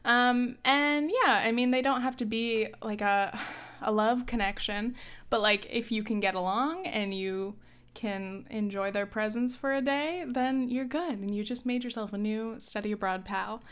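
A young woman in her twenties chats through advice in a relaxed, rambling way, with frequent fillers and light laughter. Her voice sits fairly high and sounds smooth and clear, though a touch hoarse from coughing. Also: unhurried. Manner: chatty, lots of "like" and "um", laughs often. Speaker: advice host. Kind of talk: casual advice chat.

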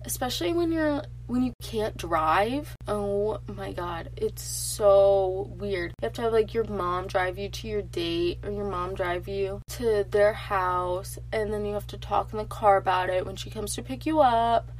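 Teen girl podcaster, stuffy nasal voice, chatty, mid-pitched, faint hum behind. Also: a little slow, few pauses, rough recording. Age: teens. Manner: chatty and animated, lots of filler "like". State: congested from allergies. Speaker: podcast host. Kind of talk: casual solo podcast chat.

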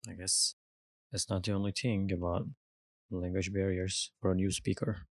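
A woman co-hosts a casual podcast, and her voice sits extremely low. She mostly adds brief, soft-spoken replies and interjections. The recording is dry and close, with no echo. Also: little pitch movement, moderate pace. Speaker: podcast co-host.

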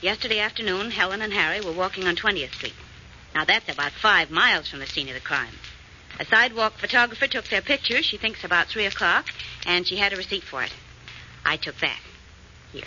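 Bright, sharp radio sweetheart. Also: female voice, mid-range voice, animated, brisk. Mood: eager, coaxing. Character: perky, loyal young woman, detective's sweetheart and helper. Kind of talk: 1940s radio acting. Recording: muffled, faintly noisy old radio recording.

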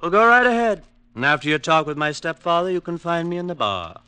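A sardonic young drunk from a rich family in an old radio play. His voice is very bright and cutting, with crisp delivery and a dry, close sound. He mocks everyone in flowery, tipsy phrases. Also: on the high side, expressive, brisk, dry recording. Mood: drunk, mocking. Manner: flowery, mocking, tipsy wit. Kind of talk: old-time radio drama acting.

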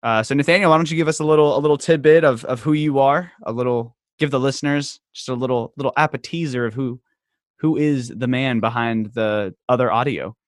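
A man's voice: medium pitch, brisk, no room sound, clean studio sound. Chatty joking male podcast host. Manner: chatty, jokey storytelling with lots of "like". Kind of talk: casual podcast chat.

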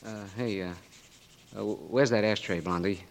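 A man's voice: fairly low pitch, normal speed, gravelly. An actor playing a brash, slang-talking young Marine patient who has lost his sight, in a 1940s radio drama. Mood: breezy. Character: young wounded Marine, cocky tough-talking, blinded.

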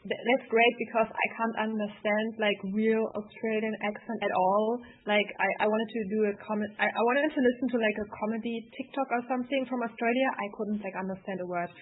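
Giggly breathy woman on a call line. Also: mid-range voice, fairly even intonation, brisk, hardly any pauses, narrow, tinny audio. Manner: bubbly, giggly, many "like" fillers. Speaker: podcast guest. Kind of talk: casual video-call chat. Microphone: video call.

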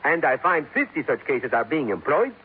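An actor playing a calm, stubborn police captain with a Spanish accent, speaking formally and deliberately in a 1950s radio drama. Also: male voice, a little high, phone-quality sound. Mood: firm, controlled. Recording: noisy, thin 1950s radio recording.

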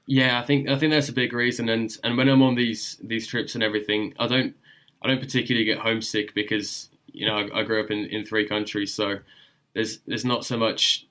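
A man's voice: on the low side, level tone, fairly quick, bright, forceful tone. A young man guest explorer telling stories in a casual interview, hesitant with frequent ums and repeated words. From born in UK, raised in Germany and Australia. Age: young adult.

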